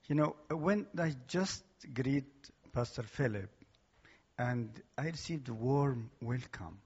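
A man's voice: medium pitch, expressive, slow, darkish tone, husky, lo-fi sound. A man with an Egyptian accent giving an earnest, emphatic church testimony in slightly broken English. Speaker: guest speaker. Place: church hall.

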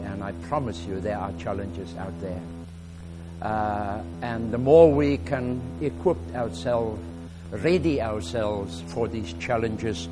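A man with an Afrikaans first language and a South African accent, preaching a relaxed, halting sermon with many fillers and reading scripture aloud more loudly. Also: lowish voice, poor audio. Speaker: guest preacher.

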